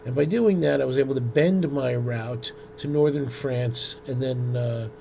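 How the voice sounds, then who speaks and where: A man presenting an informal software tutorial in a halting, hesitant way, with frequent ums. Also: medium pitch, gravelly. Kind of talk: informal screen-share software demonstration. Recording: dull lo-fi audio with loud noise.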